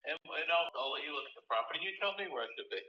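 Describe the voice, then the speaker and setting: A man's voice: on the high side, animated, racing. A man in his sixties talking on a phone call as a home seller, chatty, rambling and a bit guarded. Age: 60s. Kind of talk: casual phone conversation.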